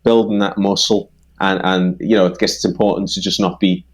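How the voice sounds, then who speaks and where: Low-voiced liverpool guy, keeps restarting, earnest chat about writing. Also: little pitch movement. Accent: Liverpool English. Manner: halting, repeats himself a lot, earnest. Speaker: songwriter and podcast co-host. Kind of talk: casual chat.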